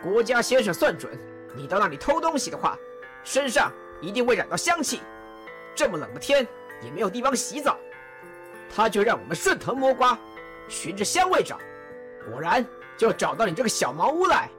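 A woman's voice: fairly high pitch, big pitch swings, normal speed, fairly bright tone, faint music behind. A woman playing a stern male general who scolds and warns a young suspect. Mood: accusing. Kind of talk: radio drama acting.

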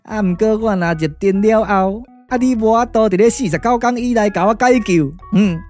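A cheeky immortal magician from a Taiwanese puppet radio drama, played by a man. He boasts and grumbles in a folksy, clownish way with a Taiwanese accent and plenty of exclamation. Soft music runs underneath. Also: high voice, normal speed, lightly acted. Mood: aggrieved grumbling.

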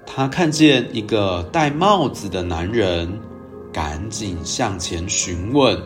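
A man hosting a storytelling show, narrating for young children in a gentle, expressive way. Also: fairly low pitch, takes it slowly, over music. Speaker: children's storytelling podcast host.

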